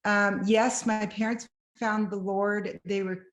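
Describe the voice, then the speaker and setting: A woman's voice: medium pitch, level tone, takes it slowly, roomy sound. A woman from Belgium, a marriage counselor, earnestly telling her faith story in an interview. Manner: earnest, reflective, varied pace. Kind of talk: personal testimony interview.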